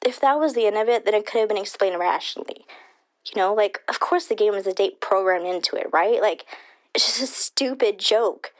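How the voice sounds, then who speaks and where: A woman's voice: medium pitch, brisk, natural voice, low-quality recording. A woman playing a shaken eyewitness telling her story in a casual, chatty way for a radio drama. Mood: disbelieving. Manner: casual, filler-laden storytelling. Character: shaken, chatty female eyewitness to a fatal accident. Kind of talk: radio drama witness interview.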